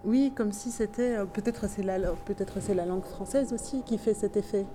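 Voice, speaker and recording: A woman from Tunisia whose first language is Tunisian Arabic, speaking French with a light Tunisian accent and a slightly nasal tone, giving thoughtful, hesitant interview answers with many pauses and restarts. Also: mid-range voice, background noise.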